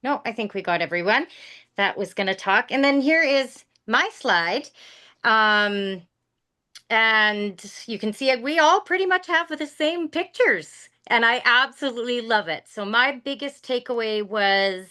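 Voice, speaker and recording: An upbeat, encouraging woman hosts a team video call, her voice bright and forward. She talks casually and quickly through her points, heard through a video call mic. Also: fairly high pitch.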